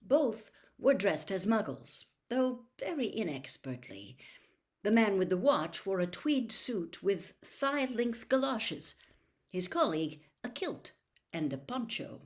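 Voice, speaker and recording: A woman narrating a novel as a storybook reader, in a measured, careful and expressive storytelling manner. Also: mid-range voice. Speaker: audiobook reader.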